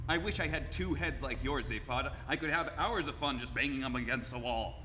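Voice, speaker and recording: A man's voice: medium pitch, brisk, pushed and bright, natural voice. A man playing a sardonic space explorer in a live radio play. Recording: noisy, reverberant hall.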